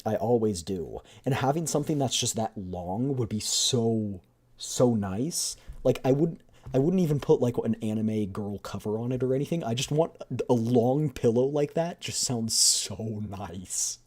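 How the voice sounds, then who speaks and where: A streamer chatting casually in a rambling, repetitive, excitable way while doing a voiced readthrough of a game story. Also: medium pitch, unhurried.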